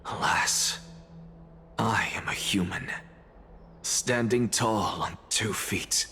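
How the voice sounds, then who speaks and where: Proud, unhurried low-voiced scholar. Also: male voice, pushed and bright, slight background noise. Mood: smug, defiant. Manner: theatrical, arrogant, articulate. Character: proud, defiant young scholar, blasphemous and ambitious. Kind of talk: video game story acting.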